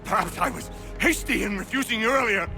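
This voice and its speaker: A man's voice: high voice, brisk, pushed and bright, faint music behind. An actor playing a frightened tradesman, pleading in a game scene. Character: stubborn, then frightened male shopkeeper.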